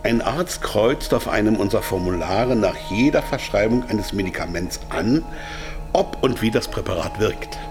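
Man playing a low-voiced, self-satisfied corporate captive. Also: lively intonation, normal speed, over music, lightly acted. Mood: smug, explanatory. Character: captive male pharma marketing executive, smugly explaining, easily rattled. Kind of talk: German radio drama dialogue.

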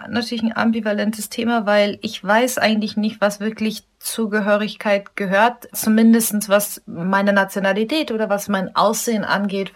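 A woman in her thirties from Munich answers interview questions as a pianist. She pauses and searches for words, with frequent fillers. Her pitch sits at an ordinary middle level. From Germany, Munich. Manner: thoughtful, hesitant with many fillers.

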